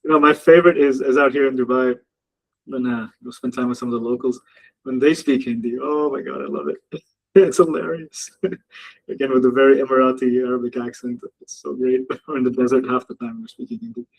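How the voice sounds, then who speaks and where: Gravelly, husky man chatting on a live stream. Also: medium pitch, brisk, low-quality recording. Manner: chatty, laughs often, jokes with the chat. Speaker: trading mentor hosting a live session. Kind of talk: casual live-stream commentary. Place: home office in Dubai.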